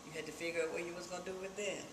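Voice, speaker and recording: A woman's voice: lowish voice, steady tone, racing. Older Southern woman in an echoey, noisy room. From Virginia, United States. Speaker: oral history interviewee. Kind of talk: personal reminiscence. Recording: echoey room with clear background noise.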